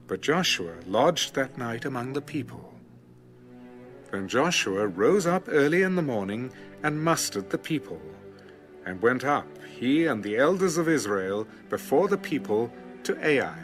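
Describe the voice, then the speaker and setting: A man narrating scripture as a scripted audio Bible reading, measured and formal. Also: medium pitch. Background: music.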